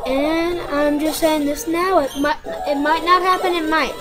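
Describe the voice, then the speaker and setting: High-voiced joking woman co-host. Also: fairly even intonation, measured pace. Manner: joking, rambling, trailing off. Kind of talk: casual gameplay chat. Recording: game music behind. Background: game music.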